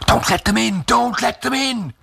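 A man playing an agitated, protective old grandfather in a literary reading, excitable and insistent. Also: lowish voice, moderate pace, breathy edge, voice pushed up, exaggerated voice. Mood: alarmed. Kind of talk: acted dialogue in a literary reading.

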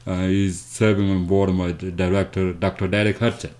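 A man with a South Sudanese accent telling his story as an interview guest, haltingly and with repeated words. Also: deep voice, flat delivery, darkish tone. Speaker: interview guest, foundation founder. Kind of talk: conversational storytelling.

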